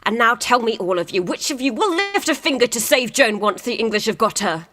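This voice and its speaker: Woman as a seasoned army commander, practical and blunt, quite a high voice, radio play. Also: very animated, brisk, pushed and bright, full character voice. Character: pragmatic, battle-wise military commander, blunt but loyal.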